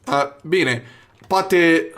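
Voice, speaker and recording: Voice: mid-range voice, fairly bright tone, a little hiss or hum. A gamer doing casual, crude Let's Play commentary and reading game dialogue aloud. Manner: crude, swearing, joking. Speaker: gaming YouTuber. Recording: game music behind the voice. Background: video game music.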